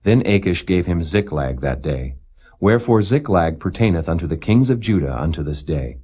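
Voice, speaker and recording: A man narrating scripture from a script in a solemn, formal, measured manner. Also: low voice, expressive, warm tone, slightly rough. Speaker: Bible narrator.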